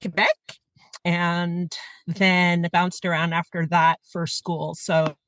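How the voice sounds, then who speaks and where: Woman from Montreal, podcast guest, mid-aged, relaxed storytelling, dry close mic. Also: lowish voice, slow pace, dry recording. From Montreal, Canada. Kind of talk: casual personal storytelling.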